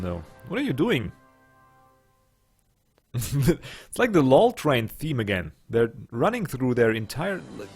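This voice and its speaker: A young man, not a native English speaker, casually commenting on his strategy game as he plays, thinking aloud and joking with his units. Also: on the low side. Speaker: let's play host.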